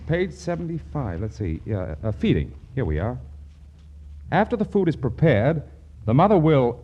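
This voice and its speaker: An actor playing a pompous, earnest store boss in a 1940s radio comedy, blustering and lecturing, stammering when flustered. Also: male voice, medium pitch, very animated, normal speed, husky, highs cut off. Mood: earnest, fussy. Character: pompous, earnest department store boss, fussy about instructions. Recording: noisy old 1940s radio broadcast.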